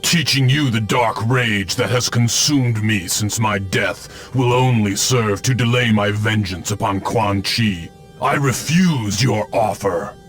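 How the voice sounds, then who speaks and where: A vengeance-obsessed ghostly ninja in a game, fairly deep, formal and threatening, faint score underneath. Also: male voice, slow, a bit bright. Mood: grim, menacing. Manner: menacing, stern, formal. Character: vengeful undead ninja specter consumed by rage. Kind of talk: video game cutscene acting. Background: music.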